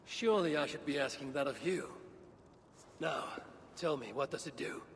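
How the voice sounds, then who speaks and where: An actor playing a bold, determined Norse god with an imperious, deliberate manner in a video game cutscene. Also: male voice, a little high, gravelly. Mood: probing, stern. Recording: long reverb, faint background noise.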